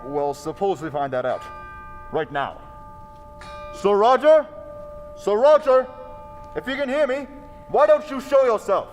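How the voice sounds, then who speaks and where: Guy playing cool gentleman detective, formal and measured, highish voice, old radio play, echoey hall. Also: lively intonation, faint music behind. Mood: hushed calm, then bold challenge. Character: calm, bold gentleman investigator in a mystery. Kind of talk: old-time radio drama acting. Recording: reverberant hall, background hiss.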